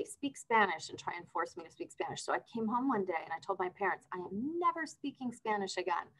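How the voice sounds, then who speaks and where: Middle-aged woman guest on a video call, upbeat and explanatory, normal pitch. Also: poor audio. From Argentina. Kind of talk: casual interview talk. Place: office with window blinds.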